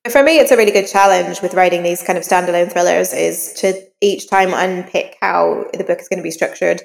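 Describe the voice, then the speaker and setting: Woman author chatting in an interview, low creaky voice, explains things casually. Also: fairly quick, rough recording. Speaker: novelist being interviewed. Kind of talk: conversational interview about writing craft.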